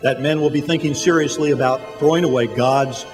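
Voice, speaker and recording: A man playing a calm, authoritative head angel who instructs his apprentice in a radio drama. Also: medium pitch, fairly quick, natural voice. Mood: calm, instructive. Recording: hall reverb, music underneath.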